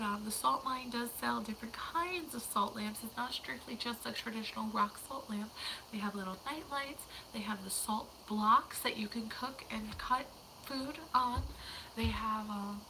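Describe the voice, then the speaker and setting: A young woman hosting a casual livestream, hoarse and losing her voice to a cold, chatting in a rambling way that slips into whispers. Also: fairly high pitch, a little slow, noisy background. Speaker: livestream host.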